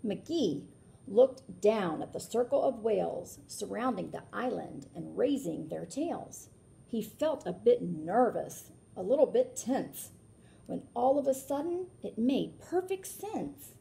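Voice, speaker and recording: A woman reading a children's picture book aloud like a teacher, animated, with explanatory asides and questions to her listeners. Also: fairly high pitch, takes it slowly, vocal fry.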